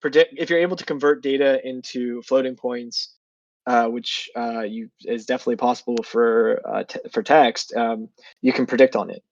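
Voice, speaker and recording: A man's voice: mid-range voice, fairly even intonation, normal speed, no echo. Congested nasal man, dull dry audio. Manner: hesitant, thinking aloud with many fillers. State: congested with a sinus infection, coughing. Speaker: guest developer. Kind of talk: casual online interview.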